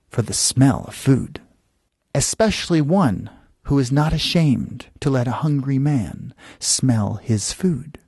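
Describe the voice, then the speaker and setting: A man voicing a wise male judge in a bedtime story, solemn, hesitant and deliberate. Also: mid-range voice, darkish tone, breathy edge, no room sound, studio quality, exaggerated voice. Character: wise, measured male judge pronouncing judgment. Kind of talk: storybook character voice.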